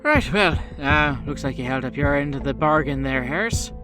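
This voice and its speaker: A man's voice: fairly high pitch, lively intonation, moderate pace, over music, close to an everyday voice. Man acting a needling, foul-mouthed mob boss. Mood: businesslike. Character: gruff male mob boss and bar owner, needling, businesslike.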